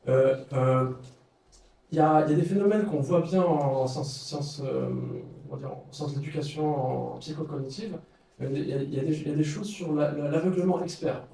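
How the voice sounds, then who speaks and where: A man's voice: a little high, brisk, warm tone. A man giving an informal talk, hesitant, with many fillers and restarts. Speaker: speaker leading a study circle. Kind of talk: informal lecture with questions. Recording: echoey room, far from the mic.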